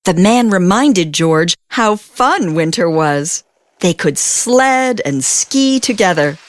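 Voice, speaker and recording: A woman reading a children's storybook aloud as an expressive narrator, with deliberate phrasing and drawn-out emphasis. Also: on the low side, no echo.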